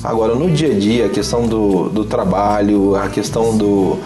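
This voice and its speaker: A man's voice: on the low side, moderate pace, music in the background, poor audio. A businessman guest in a casual TV interview, talkative and joking, restarting his sentences. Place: small TV studio set with a tea table.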